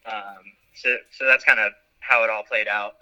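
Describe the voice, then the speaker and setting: A man talking as a guest in a casual interview, animated and quick to laugh at himself, with plenty of fillers. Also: fairly low pitch, highs cut off.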